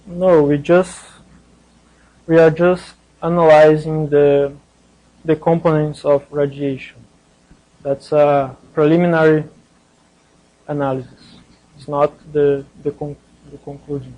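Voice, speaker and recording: A man giving a scientific presentation in a hesitant, halting way. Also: on the high side, level tone, mellow, lo-fi sound. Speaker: conference presenter.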